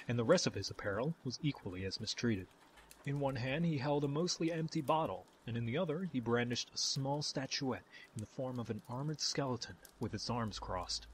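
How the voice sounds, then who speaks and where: A man's voice: mid-range voice, a little hiss or hum, poor audio. A man delivering scripted fantasy narration as the storyteller of an audio tale. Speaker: narrator, game-style storyteller.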